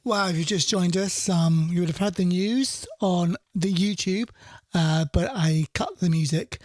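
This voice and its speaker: A man's voice: high voice, a little slow, no echo. A middle-aged man presenting a radio show in casual, rambling live chat, often repeating his phrases.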